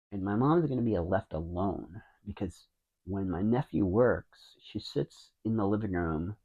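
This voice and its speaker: A man in his fifties with a low, quiet voice, who mumbles and rambles with many restarts as he talks to camera. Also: vocal fry, husky, phone-quality sound. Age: 50s. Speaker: vlogger. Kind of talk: casual talking to camera. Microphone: wireless mic into a phone.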